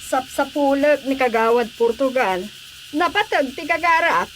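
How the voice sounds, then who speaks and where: A woman's voice: sits high, unhurried, a bit bright, slight background noise, poor audio. A woman playing an earnest, distressed Filipino wife in a radio drama. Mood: pleading, earnest. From Philippines. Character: earnest, distressed wife searching for her husband.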